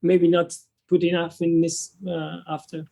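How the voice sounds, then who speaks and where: A young man from France with a French accent, speaking English as a second language in a casual interview as a guest, often halting and restarting his sentences. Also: on the high side, monotone, gravelly, a little mellow. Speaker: guest, travel content creator.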